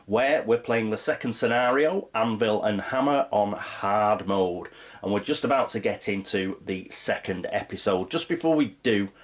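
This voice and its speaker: A man with a heavy cold and a congested voice, hosting his channel and talking through a board game turn by turn, explaining each rule as he goes. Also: fairly low pitch. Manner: chatty, explains rules step by step. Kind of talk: playthrough commentary of a board game.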